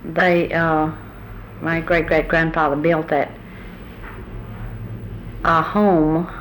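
Slow, low-voiced older woman. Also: little pitch movement, a bit bright, low-quality recording. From Southern United States. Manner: unhurried, recalls family history with pauses. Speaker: oral history interviewee. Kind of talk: recorded oral history interview. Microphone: tape recorder microphone. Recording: old tape recording with faint hiss.

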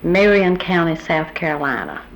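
An older woman, unhurried and pausing as she recalls family history in a taped oral history interview. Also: low-pitched, very animated, rough recording. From Southern United States. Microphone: tape recorder microphone. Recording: old tape recording with faint hiss.

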